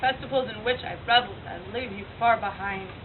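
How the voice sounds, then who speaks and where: A woman's voice: mid-range voice, a little fast, close to an everyday voice. Woman performing a frenzied Greek prophetess, tinny distant recording. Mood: defiant, frenzied. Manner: declamatory, theatrical. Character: frenzied young prophetess of Apollo, scornful, doomed and defiant. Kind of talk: stage monologue from Greek tragedy. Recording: tinny, noisy far-off event recording.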